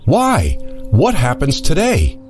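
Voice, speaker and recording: A man's voice: on the high side, huge pitch swings, takes it slowly, darkish tone, soft background music, heavily acted. A man playing an anxious young traveling businessman, urgent and questioning in an audio drama. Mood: concerned, puzzled. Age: young adult.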